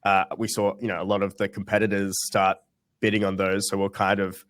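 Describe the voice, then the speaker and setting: A man's voice: low-pitched, completely flat delivery, fairly quick, a bit bright, no echo, clean studio sound. A young man in a webinar interview, talking casually with lots of ums and restarts. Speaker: guest founder.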